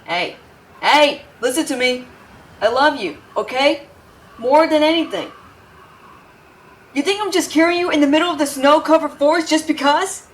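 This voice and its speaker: A woman's voice: high-pitched, moderate pace, long pauses, far from the mic, natural voice, rough recording. A woman playing a brash but caring tsundere young woman in an intimate audio roleplay, scolding with tender worry underneath. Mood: urgent, tender. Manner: brusque, scolding, exasperated, with tender worried undertones. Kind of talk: intimate dramatic audio roleplay addressed to the listener.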